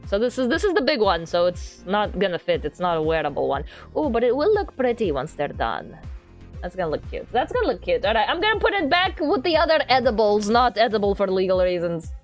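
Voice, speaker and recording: Female livestreamer, casual and playful, lively swooping pitch, rambling and repetitive delivery over soft background music. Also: fairly quick. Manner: playful, rambling, repeats herself. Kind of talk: casual chat with viewers while doing crafts on stream.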